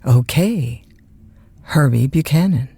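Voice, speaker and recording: A female overnight disc jockey in an audio drama. She talks slowly and informally, in a folksy, easygoing way. Her voice is dark and a little husky. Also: rumbling low, expressive, no echo, exaggerated voice. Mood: friendly on-air. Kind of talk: naturalistic audio drama acting.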